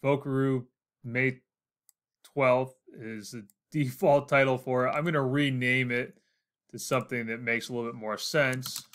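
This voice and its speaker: A man's voice: mid-range voice, a bit bright. An American man in his forties narrating a casual instructional screencast, explaining things step by step. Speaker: tutorial host.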